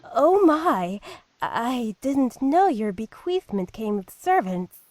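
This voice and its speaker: A woman's voice: fairly high pitch, big pitch swings, slow, darkish tone, dry recording, exaggerated voice. Gushing, swooping, elegant mother. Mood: pleasantly surprised. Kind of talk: visual novel voice acting.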